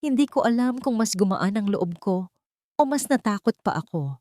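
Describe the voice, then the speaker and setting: A woman's voice: mid-range voice, animated, normal speed, dark tone, dry recording, studio quality. A woman with a Filipino accent reading a dramatic first-person story as an audiobook narrator.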